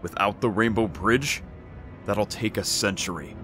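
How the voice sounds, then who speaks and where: A male actor plays a brooding, loyal warrior god with a low, forceful, hard-edged voice. He is blunt, grim and disapproving. This is fantasy audio drama over faint music. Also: a little slow. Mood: weary, regretful.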